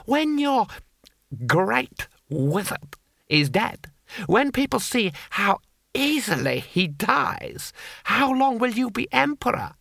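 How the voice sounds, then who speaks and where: A man voicing a cold, cruel, scheming male imperial lord in an audiobook, with a slow, haughty drawl. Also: very high-pitched, big pitch swings, vocal fry, bright, forceful tone, no room sound, studio quality, pitched above the performer's own voice, exaggerated voice. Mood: menacing. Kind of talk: audiobook character voice.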